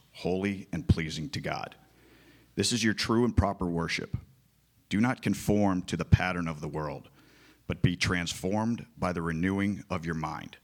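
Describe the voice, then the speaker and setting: A man reading a long scripture passage aloud in church, in a slow and solemn way. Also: sits low. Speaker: scripture reader.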